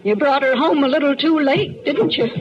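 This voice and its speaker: An actress playing a strong-willed, plain-spoken homesteader wife with a rural American accent in a radio western. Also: female voice, high voice, normal speed, natural voice. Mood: cool, defiant. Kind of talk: old-time radio western acting. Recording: muffled, noisy old radio recording.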